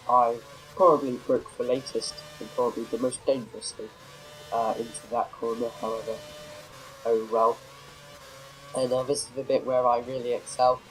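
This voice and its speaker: A man's voice: medium pitch, a little hiss or hum, rough recording. Nasal man with a cold. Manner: rambling, self-correcting, many fillers. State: has a cold, a bit nasal. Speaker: gameplay commentator. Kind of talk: casual racing game commentary.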